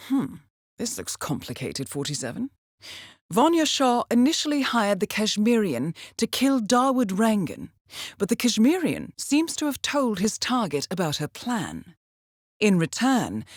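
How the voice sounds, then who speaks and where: An actress playing a composed, coolly wry intelligence handler in a video game, giving formal, measured, dryly understated mission briefings. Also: female voice, medium pitch, dry recording, studio quality.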